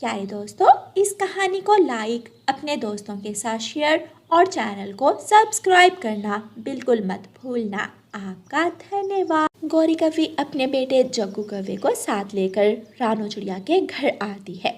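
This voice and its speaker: A woman's voice: high voice, lively intonation, measured pace, hardly any pauses. A woman narrator reading a scripted children's story.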